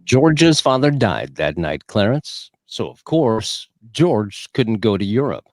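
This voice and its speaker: An elder angel telling a tale in a radio play. The voice is slow and rather low, yet expressive and swooping. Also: male voice, dry recording, studio quality. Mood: measured.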